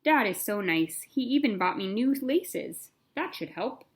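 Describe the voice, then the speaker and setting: A woman's voice: fairly high pitch, animated, measured pace. A woman reading a picture book, voicing an anxious little girl of five in a dramatic, earnest, exclamatory way. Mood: hopeful. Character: anxious five-year-old girl, dramatic, dreading kindergarten. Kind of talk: picture-book read-aloud.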